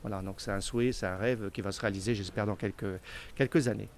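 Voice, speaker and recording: A middle-aged man, the founder of a charity, answering interview questions in an earnest, casual way, often repeating words and filling pauses. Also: lowish voice, fairly even intonation, a little mellow, a little hiss or hum. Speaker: association founder.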